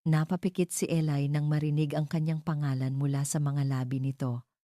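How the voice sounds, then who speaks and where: Female narrator for romantic audio drama; low, soft-edged mellow voice, dry close sound. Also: level tone, normal speed, no room sound. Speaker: storyteller.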